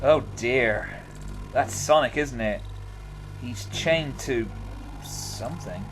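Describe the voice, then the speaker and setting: A man's voice: mid-range voice, slow, lightly acted. A man playing a chatty, wry investigator in a fan audio drama. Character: chatty, quippy male investigator.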